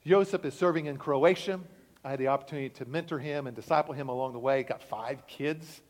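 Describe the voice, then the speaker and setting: A man's voice: brisk, big-room sound. A man preaching as pastor to his congregation, impassioned and building toward a climax before introducing a guest. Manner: impassioned preaching, climbing in pitch and volume. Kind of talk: sermon. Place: church sanctuary.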